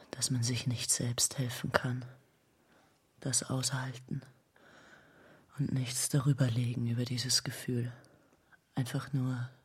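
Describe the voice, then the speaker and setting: Very husky, despairing unhinged woman. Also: a bass voice, exaggerated voice. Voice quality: husky, breathy. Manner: slow, halting, repeating words. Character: despairing, unhinged woman threatening to harm herself. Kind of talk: German radio drama acting.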